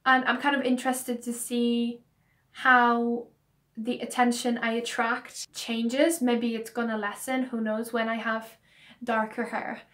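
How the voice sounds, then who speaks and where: A young woman, her voice croaky from hay fever, talking to camera in a casual, earnest vlog about her reasons. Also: a little high, level tone, measured pace, a bit bright. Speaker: beauty vlogger.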